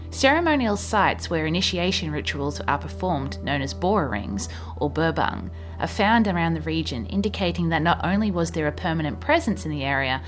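Australian woman, low voice, measured history storyteller, scripted narration over background music.

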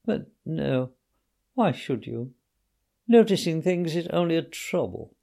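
A vain toad sighs out his words in a feeble, martyred voice, as a man puts him on. His pitch climbs high and swings about as he lays on the self-pity. It is a storybook reading. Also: sing-song, takes it slowly, creaky, mellow, full character voice. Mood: wheedling. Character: vain self-pitying male toad feigning illness.

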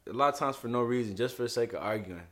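A man co-hosting a casual podcast chat, animated and emphatic, often repeating himself, with a slightly hoarse voice. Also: medium pitch, racing. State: hoarse from arguing. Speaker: podcast co-host.

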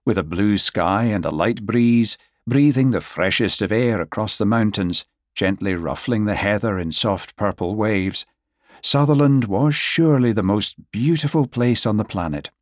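Casting: wry Highland sheep farmer, played by a male audiobook reader, Scottish, musing and grumbly, lower register, dry recording. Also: normal speed, no echo, natural voice. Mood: contented. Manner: musing, dialect-laced muttering to his dog.

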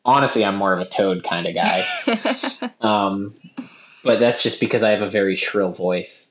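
A man's voice: fairly low pitch, big pitch swings, moderate pace, reverberant. A young man co-hosting a podcast in casual chat, rambling with self-mocking jokes. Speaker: podcast co-host. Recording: dull lo-fi sound.

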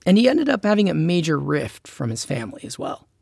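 Male narrator, scripted documentary host, animated pitch, gravelly voice, personal conversational delivery. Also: brisk, no echo, clean studio sound.

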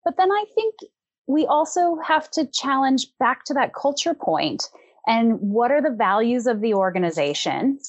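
A woman speaking as a podcast guest, a consultant who talks through her ideas in a reflective, measured way. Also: on the high side, very animated, breathy edge, dry recording. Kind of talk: casual conversational discussion.

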